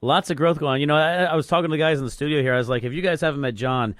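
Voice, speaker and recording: A man's voice: fairly high pitch, dry recording, studio quality. A man hosting a business talk radio interview, chatty and conversational, often restarting his phrases. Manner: rapid, conversational, repeats words when restarting. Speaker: guest radio host.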